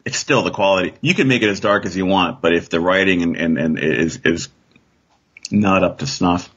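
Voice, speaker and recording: Male podcast co-host, low raspy voice, relaxed conversational fan talk, muffled lo-fi recording. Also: moderate pace. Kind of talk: casual pop-culture discussion.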